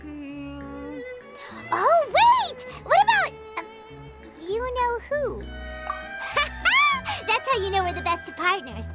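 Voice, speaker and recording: A woman voicing a small, perky, childlike fairy companion in a video game, squeaky, bubbly and cheeky. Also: huge pitch swings, takes it slowly, loud music behind the voice, full character voice. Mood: thoughtful, confident. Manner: bubbly, refers to herself by name.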